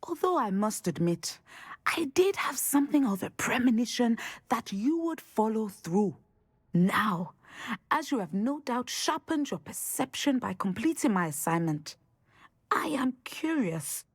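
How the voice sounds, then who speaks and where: An actress playing a serene, portentous divination professor in a fantasy game, speaking in a measured, formal, mystical manner. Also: female voice, a little high, sing-song, slightly rough, no room sound, studio quality, full character voice. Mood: serene, approving. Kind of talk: video game character dialogue.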